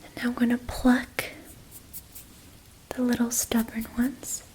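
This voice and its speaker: A young woman whispering a gentle ASMR reiki relaxation in soft, slow, repetitive phrases. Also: on the high side, completely flat delivery, rough recording. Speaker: ASMR creator.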